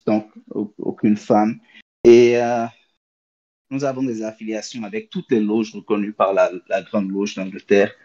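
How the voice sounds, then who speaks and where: A man's voice: on the low side, a little mellow, dull audio. A man from Benin giving an informal presentation as a guest over an online call, hesitant with many fillers and restarts. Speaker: guest speaker.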